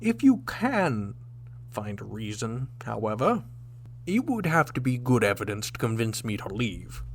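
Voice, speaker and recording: An actor playing a proud, stern old Klingon warrior captain in a sci-fi audio drama, formal and declamatory in manner. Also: male voice, mid-range voice, very animated, a little slow, slight background noise. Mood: grave threat.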